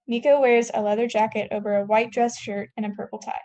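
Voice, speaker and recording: A woman's voice: a little high, flat delivery, fast, dark tone. A young woman reading stage directions as scripted narration at a table read.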